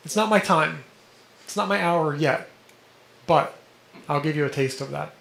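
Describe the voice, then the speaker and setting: A man teaching a study group, explaining texts and often checking in with listeners, a little hoarse and clearing his throat. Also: a little high, normal speed, a bit bright. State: slightly hoarse, coughing. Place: indoor room with a vent. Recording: echoey room with background noise.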